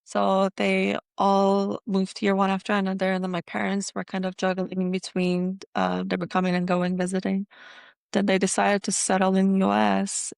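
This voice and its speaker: Gravelly, flat-toned woman from Iran. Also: mid-range voice, no echo, studio quality. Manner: relaxed, many "um" pauses. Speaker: implant dentist guest. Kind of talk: casual interview talk.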